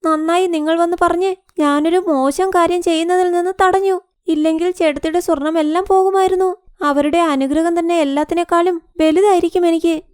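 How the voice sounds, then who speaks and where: Cartoon daughter played by a woman: young, married, high-pitched, self-pitying and wheedling, dry recording. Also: fairly even intonation, fairly quick, darkish tone, dry recording. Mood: remorseful. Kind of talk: Malayalam cartoon moral story acting.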